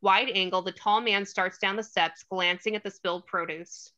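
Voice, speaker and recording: A woman's voice: medium pitch, fairly even intonation, moderate pace, pushed and bright, dull audio. A woman reading stage directions as scripted narration at a table read.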